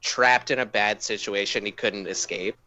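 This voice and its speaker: Bright-voiced man chatting informally. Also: on the low side, fairly even intonation, moderate pace, dry recording, poor audio. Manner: informal, playful. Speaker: podcast co-host. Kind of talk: casual chat.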